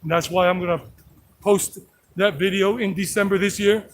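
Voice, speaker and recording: A man's voice: sits high, steady tone, moderate pace, slight background noise. A middle-aged man, a native English speaker, chatting in a rambling, repetitive way as he live streams while walking outdoors beside a creek. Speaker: vlogger. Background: flowing creek.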